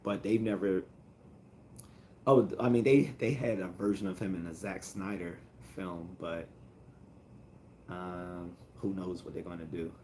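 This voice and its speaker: A man hosting a casual livestream, answering viewer comments in a calm, rambling way with many fillers and restarts. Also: low voice, measured pace, pauses often, a little hiss or hum. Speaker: livestream host.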